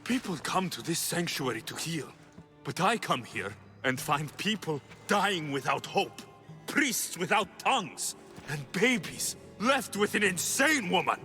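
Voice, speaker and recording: A man's voice: high voice, very animated, unhurried, sharp tone, big-room sound, soft background music, exaggerated voice. A man playing a fierce, vengeful mercenary hero with an intense, accusing delivery in a video game cutscene. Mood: accusing, angry. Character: fierce, vengeful mercenary hero seeking his lost family. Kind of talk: dramatic game cutscene acting.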